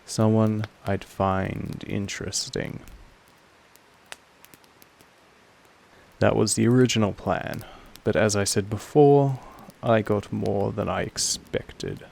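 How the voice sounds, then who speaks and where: Casting: male actor as commanding vampire master for roleplay audio. Low-pitched, very even, possessive and patient, deliberate pauses. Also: a little hiss or hum. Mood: possessive, tender. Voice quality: low, creaky. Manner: slow, deliberate, measured delivery with weighty pauses. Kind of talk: scripted roleplay audio monologue addressed to the listener.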